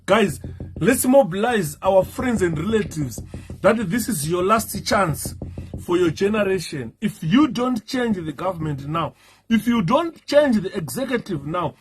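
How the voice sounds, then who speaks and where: A Zimbabwean man with a hoarse, fading voice, talking in a halting live-streamed talk with many fillers. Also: high-pitched, animated, a little hiss or hum. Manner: halting, many fillers, switches into Shona and sings. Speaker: political commentator.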